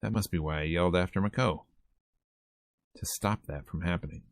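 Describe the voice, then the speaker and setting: A man streaming a visual novel, reading the narration aloud in a conversational, expressive way. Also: low-pitched, a little fast, no echo. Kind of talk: game let's-play, reading narration aloud.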